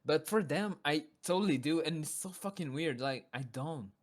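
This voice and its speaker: Young guy from brazil, bit higher voice, swears a lot, keeps restarting his sentences. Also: animated, dry recording. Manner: swears casually, halting restarts. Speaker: podcast co-host. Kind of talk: casual chat.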